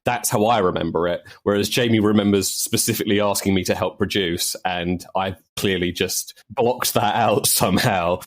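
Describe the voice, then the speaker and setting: A man's voice: fairly low pitch, normal speed, few pauses. A man speaking casually as an interview guest, with wry humour and a habit of repeating words as he thinks. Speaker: podcast guest, audio drama producer.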